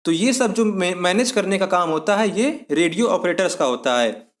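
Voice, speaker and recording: A man's voice: high voice, expressive, moderate pace. A man giving an explanatory lesson as an exam-prep instructor, methodically restating points in simpler words.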